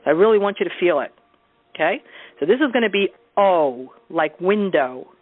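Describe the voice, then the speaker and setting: A woman's voice: on the low side, lively intonation, normal speed. A woman casually leading a group through a guided sound exercise on a teleconference, instructing informally and often checking in with her listeners. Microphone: telephone. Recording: muffled, narrow teleconference phone line.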